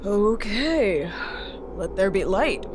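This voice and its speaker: A woman's voice: medium pitch, big pitch swings, background noise. A woman playing a brusque, sardonic spaceship captain in an audio drama, blunt and sarcastic. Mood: puzzled, wry. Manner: sarcastic, blunt, halting when puzzled.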